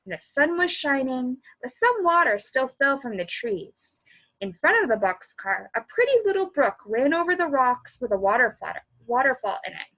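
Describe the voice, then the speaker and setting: Female children's storyteller, high voice with lively swings, expressive read-aloud that speaks to young listeners. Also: moderate pace, low-quality recording. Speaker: children's librarian reading aloud.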